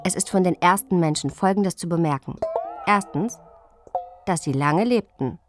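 An actress playing an earnest, playful young princess in a radio drama, reciting notebooks and letters in a childlike, emphatic way. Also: female voice, on the low side, normal speed, music in the background, natural voice.

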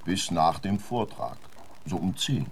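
A man's voice: sits low, measured pace, slight background noise. A man playing a simple, deferential manservant in a radio drama, answering in a terse, subdued, guarded way. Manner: terse, subdued, unlettered grammar. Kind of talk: German radio drama acting.

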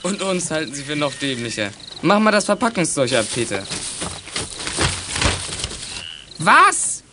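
An actor playing a blustering, short-tempered crook who barks indignant orders as gang leader in a German youth radio play. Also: male voice, fairly high pitch, big pitch swings, normal speed, noisy background. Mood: scornful, outraged.

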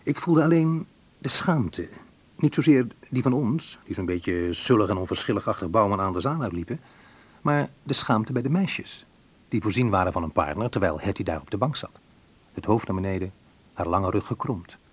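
An actor playing a wistful man recounting his youth in a reflective, measured radio play narration, with a slightly husky voice. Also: fairly low pitch, expressive. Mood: wry, hurt. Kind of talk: literary first-person radio play narration.

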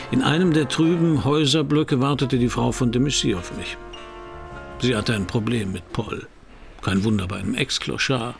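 A man playing a weary, wry private detective in a noir radio drama, speaking tersely and dryly with a gravelly voice. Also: on the low side. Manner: terse, laconic, dry. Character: weary, wry male private detective narrating his case.

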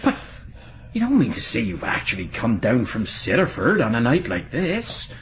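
Plodding country sergeant for a radio play, middle pitch, animated intonation. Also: male voice, a little hiss or hum. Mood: puzzled. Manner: slow, deliberate, deferential. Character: steady, plodding village police sergeant. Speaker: police sergeant. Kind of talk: 1980s BBC radio drama acting.

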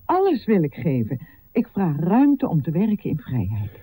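A woman's voice: on the low side, wildly swooping pitch, normal speed, dark tone, slightly rough, full character voice, rough recording. An actress playing an earnest, principled woman physician in a radio drama, speaking with solemn, impassioned formality. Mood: impassioned plea. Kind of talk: declamatory radio drama oratory.

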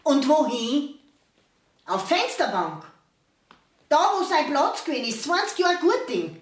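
An actress playing a middle-aged, indignant peasant mother in a Bavarian dialect radio play, scolding with drawn-out emphasis. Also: high voice, big pitch swings, roomy sound. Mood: outraged, scolding.

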